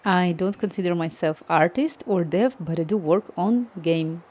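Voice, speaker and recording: Softly mellow woman coding live. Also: on the low side, normal speed. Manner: thinking aloud, reading chat, many restarts. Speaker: coding streamer. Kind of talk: live-stream coding chat.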